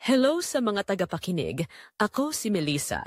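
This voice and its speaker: Woman acting a confessional Filipino wife, lively intonation. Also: mid-range voice, dry recording, clean studio sound. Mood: confessional, wistful. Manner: confessional, measured, reflective. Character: Melissa, unhappy wife and mother confessing her betrayal. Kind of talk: first-person scripted audio drama narration.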